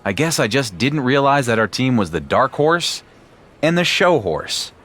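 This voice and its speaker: An actor playing a young champion wrestler who talks in a dry, sarcastic way. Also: male voice, medium pitch, very animated, measured pace, a bit bright.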